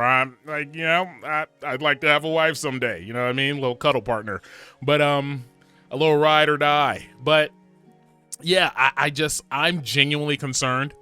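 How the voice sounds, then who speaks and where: Animated rambling man in his twenties. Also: on the high side, clear, resonant tone. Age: 20s. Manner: rambling and animated, with frequent fillers and laughs. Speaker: vlogger. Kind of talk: casual talk to camera.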